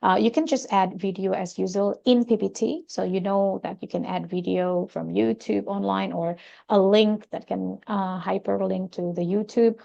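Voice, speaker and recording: A woman whose first language is Indonesian presents a teaching webinar, speaking in English with many hesitant fillers as she explains software features. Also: medium pitch, creaky, lo-fi sound.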